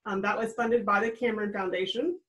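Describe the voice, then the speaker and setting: A woman's voice: mid-range voice, steady tone, a little fast, fairly bright tone, low-quality recording. An American woman in her thirties, a guest on a video-call interview, explaining in a friendly, upbeat way from a small room at home. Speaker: guest, regional trail program director. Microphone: webcam on a video call.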